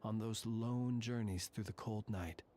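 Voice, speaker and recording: Actor as solemn, courteous cemetery guardian. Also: male voice, lowish voice, fairly even intonation, warm tone, slight background noise, lightly acted. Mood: solemn, measured. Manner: formal, measured, ornate courteous speech. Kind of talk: video game character dialogue.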